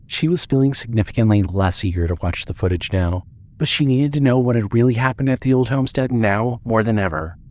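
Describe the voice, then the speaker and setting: A man's voice: medium pitch, a little fast, a little mellow. A man narrating a scripted audio drama and reading its credits as the narrator. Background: music under the voice.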